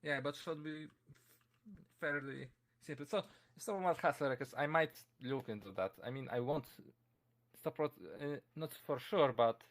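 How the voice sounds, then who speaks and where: A young man with a Polish accent talks casually into a desk microphone. His voice sits a little high, and he stumbles through fillers and restarts, chuckling often. Keyboard typing can be heard behind him. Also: normal speed. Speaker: convention presenter. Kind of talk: casual live-streamed talk answering chat questions.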